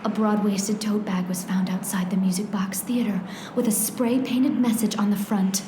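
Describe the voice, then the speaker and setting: A woman's voice: mid-range voice, steady tone, moderate pace, lightly acted. An actress playing an earnest, urgent woman pleading with a detective in a comedic noir radio play, with dramatic delivery. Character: urgent, pleading female visitor in a noir mystery. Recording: echoey room, clear background noise.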